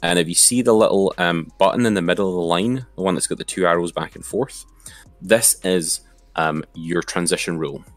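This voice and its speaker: A man's voice: sits low, fairly even intonation, brisk, no room sound, soft background music. A man giving an informal, step-by-step screen-share tutorial as an instructor.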